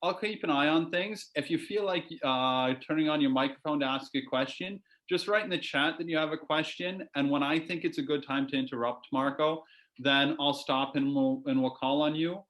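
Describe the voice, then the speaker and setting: A man's voice: fairly high pitch, normal speed, a bit bright, poor audio. A man hosting an online session, introducing a guest and explaining how to ask questions in the chat, with frequent hesitations. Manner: hesitant, lots of fillers. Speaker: host. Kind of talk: introducing a guest on a video call.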